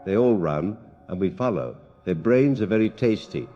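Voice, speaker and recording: Dark, cavernous undead man played by an actor. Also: on the low side, faint music behind, lightly acted. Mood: calm menace. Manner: calm, courteous, deliberate. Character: undead zombie horde spokesman, calm, polite, menacing. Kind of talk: audio horror drama, radio call-in. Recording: dark muffled line with long reverb.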